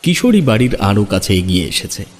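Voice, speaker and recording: A man's voice: on the low side, big pitch swings, fairly quick, a little mellow. A man narrating an audio story dramatically in the first person. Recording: long reverb on the voice.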